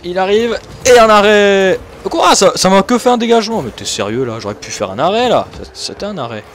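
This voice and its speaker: A young man from Switzerland, commentating his own gameplay excitedly and laughing often. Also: sits high, a little fast, a little hiss or hum. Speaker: gaming YouTuber.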